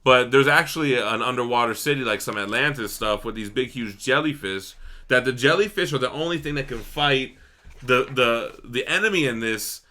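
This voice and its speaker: A man's voice: medium pitch, normal speed, pushed and bright. A man chatting casually as co-host of a review, retelling a story in slangy bursts with some swearing. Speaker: co-host reviewing a graphic novel. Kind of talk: casual chat, book discussion.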